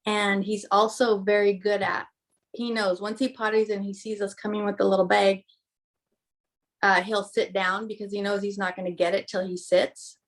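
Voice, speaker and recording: A woman's voice: mid-range voice, level tone, normal speed. Woman dog owner talking over a call. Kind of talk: casual chat.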